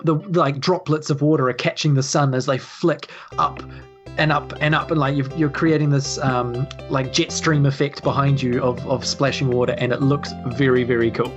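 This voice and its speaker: A woman's voice: very deep voice, fairly even intonation, normal speed, highs cut off, soft background music. A woman improvising casual narration as the game master of a tabletop game.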